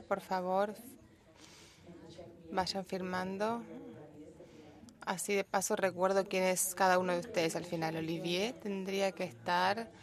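A woman with a Rioplatense Spanish accent doing simultaneous interpretation, relaying speech in a steady, even way. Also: fairly low pitch.

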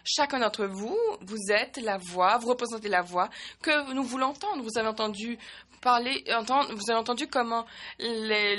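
A woman with a fairly high voice works as a simultaneous interpreter at a meeting. She talks in uneven bursts, often breaking off mid-word to restart, and fills the gaps with short hesitations. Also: a bit bright. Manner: halting, frequent restarts and fillers. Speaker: conference interpreter.

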